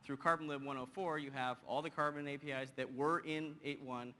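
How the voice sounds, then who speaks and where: Bright-voiced man presenting in a hall. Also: on the high side, brisk. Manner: energetic, teasing the audience, frequent "you know" and "right?". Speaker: conference presenter. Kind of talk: technical keynote presentation with live demo. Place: large conference hall. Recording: long hall reverb, faint background hum.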